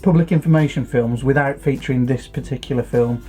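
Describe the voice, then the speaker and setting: British male co-host, middle-aged, mellow tone, slightly high pitch, casual chat, music behind. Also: brisk.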